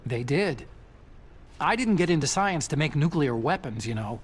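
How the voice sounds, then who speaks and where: An actor with an American accent playing an earnest, nerdy young scientist in a video game, anxious, idealistic and plaintive. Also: male voice, fairly high pitch, lively intonation, normal speed, breathy edge. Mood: earnest, eager. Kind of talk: dramatic video game voice acting.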